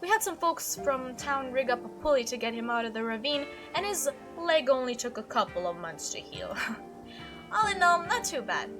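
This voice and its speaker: A woman performs an excitable young female monster hunter from a visual novel. Her voice is high and very lively in pitch. She gushes and chatters through her tales, with music playing behind her. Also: normal speed. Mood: breezy reminiscing. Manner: animated, gushing storytelling. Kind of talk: visual novel character read-aloud.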